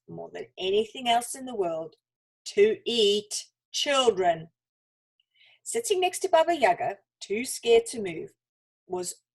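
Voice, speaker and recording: A woman reads a children's story expressively, with dramatic pauses and deliberate emphasis. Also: mid-range voice, a little mellow, husky, poor audio.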